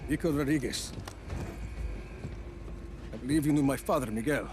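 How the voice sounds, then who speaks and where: An actor playing a cocky, brisk rebel action hero in a video game cutscene, dry, commanding and teasing. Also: male voice, medium pitch, noisy background, close to an everyday voice. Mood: urgent, commanding.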